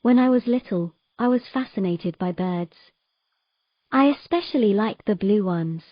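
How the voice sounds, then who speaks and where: Woman acting a young mermaid, sorrowful, gentle, halting, medium pitch, audio drama storytelling, dry slightly muffled sound. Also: no room sound, close to an everyday voice. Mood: wistful. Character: sorrowful young mermaid recalling her lost family.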